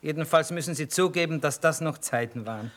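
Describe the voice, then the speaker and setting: A man's voice: on the high side, a little fast, a bit bright, natural voice. An earthy army cook played by a man in a radio drama, always hungry and grumbling. He talks in a dry, blunt, folksy way. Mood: needling. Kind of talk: German radio play acting.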